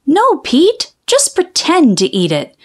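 A woman voicing a playful, affectionate little girl in a storybook, expressive and exclaiming. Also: high voice, takes it slowly, pitched above the performer's own voice, heavily acted. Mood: scolding.

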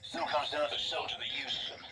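An actor playing a gruff veteran squad leader in a video game, terse and commanding. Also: male voice, on the high side, fairly even intonation, quick pace, loud music behind the voice, natural voice. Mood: dry, wry. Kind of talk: cinematic video game acting.

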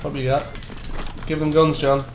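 A young man with a high, light voice, co-hosting a game playthrough in joking, laughing, swearing banter. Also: level tone, moderate pace, creaky, reverberant, slight background noise. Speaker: let's play co-host. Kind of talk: casual gaming chat. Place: home room at a gaming desk.

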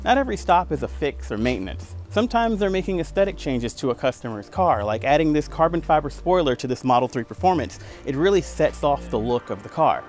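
A man's voice: on the high side, big pitch swings, fairly quick, hardly any pauses, a little mellow. Upbeat young man presenting with music. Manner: upbeat, conversational, lightly joking. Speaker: car show host. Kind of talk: scripted presenting to camera. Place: outdoors in parking lots and inside a moving car. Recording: voice with music bed underneath. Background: background music.

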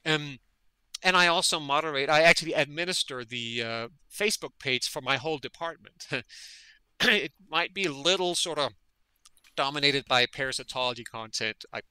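A man from Denmark speaks as an interview guest, earnest and thoughtful, often repeating a word before going on. His voice is very bright and sits a little high for a man. The sound is close and completely dry. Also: normal speed, smooth, no room sound, low-quality recording. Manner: earnest, repeats words while thinking. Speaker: guest, veterinary parasitologist. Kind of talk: conversational interview.

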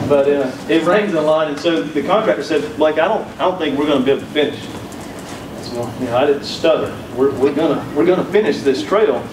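A man's voice: fairly high pitch, brisk, background noise. A man in his thirties from South Carolina with a Southern accent, giving a conference keynote with folksy, humorous storytelling on a handheld mic. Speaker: keynote speaker. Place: conference room, indoors. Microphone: handheld mic, recorded from far back. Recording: echoey room from a distance.

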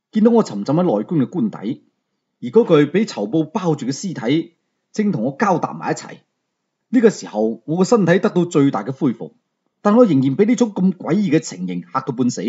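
Husky Hong Kong Cantonese male storyteller. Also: a little high, dark tone, lo-fi sound. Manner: conversational, colloquial storytelling. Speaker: audiobook storyteller. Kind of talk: dramatic first-person story narration.

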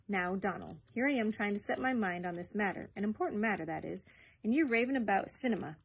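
Older male dogcatcher played by a woman, storybook official, stern, blustering, husky. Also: on the high side, expressive, normal speed. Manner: pompous, emphatic, scolding.

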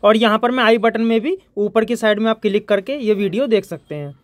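A man's voice: sits high, brisk. A man from India, presenting an informal hands-on electronics tutorial as its host.

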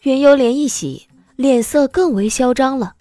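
A woman giving expressive scripted narration of a romance novel as an audiobook narrator. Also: sits high, normal speed, no room sound, soft background music.

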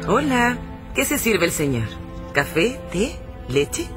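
An actress playing a calm, polite flight attendant, courteous and formal, in a radio drama. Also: female voice, medium pitch, takes it slowly, over music.

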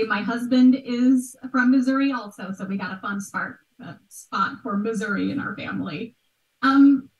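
An American woman, around her thirties, explaining things conversationally as a podcast guest, with emphasis on key words. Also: fairly high pitch. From United States. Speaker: podcast guest, art history expert. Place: small bright room at home. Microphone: video call. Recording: roomy video-call sound.

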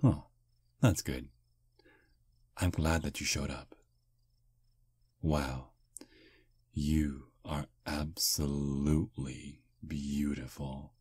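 A man voicing a charming, polite male vampire on a first date, speaking softly and flatteringly in an ASMR roleplay. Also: a bass voice, very slow, long pauses, husky, no room sound, heavily acted. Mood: smooth flirting. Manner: soft, flattering, gentlemanly. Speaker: voice actor.

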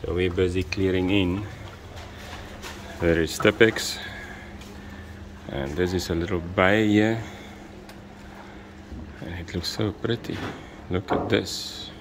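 Male South African vlogger, lowish voice, slow casual delivery, noisy camera audio. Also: fairly even intonation. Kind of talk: casual off-the-cuff vlog commentary. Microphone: handheld camera.

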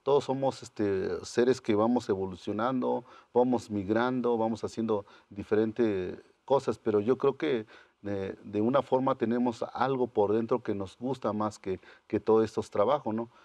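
Soft-spoken young man, low voice. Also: normal speed, dry recording. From Oaxaca, Mexico. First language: Mazatec. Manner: calm, searching, with many fillers. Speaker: guest artist. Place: indoor TV studio set. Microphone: lapel.

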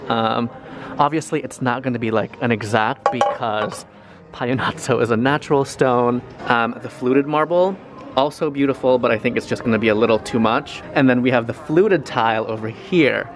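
A young man chatting casually to a handheld camera, gushing excitably over what he finds in a car and in stores. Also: mid-range voice, normal speed, music in the background. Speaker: vlogger. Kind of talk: casual vlog chat to camera. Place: car, showroom and store, indoors. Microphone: handheld camera at arm's length.

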